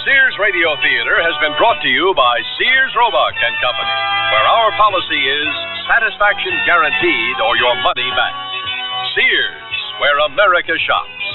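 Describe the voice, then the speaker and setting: A man giving scripted announcements in an old-time radio announcer style. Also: fairly high pitch. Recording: tinny old radio broadcast recording. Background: loud orchestral music.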